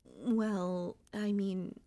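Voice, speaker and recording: A woman voicing a gentle, wistful and anxious young centipede monster girl in an ASMR audio roleplay, speaking softly and hesitantly in a conversational way. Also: medium pitch, big pitch swings, very slow, dry recording, heavily acted. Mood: calm, reminiscing.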